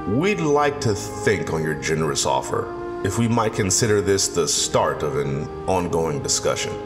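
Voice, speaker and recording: An actor as an earnest male council elder in a radio play, low in pitch and very animated in intonation. The voice rings in a long reverb with music underneath. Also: moderate pace. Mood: wary, measured. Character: male community council leader, wary and earnest. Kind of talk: audio drama acting.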